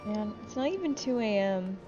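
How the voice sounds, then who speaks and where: A woman's voice: medium pitch, lively intonation, moderate pace. A very tired woman chatting casually with friends while hosting a gaming video, rambling and swearing informally. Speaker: gaming video host and player. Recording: dull lo-fi voice chat, faint music.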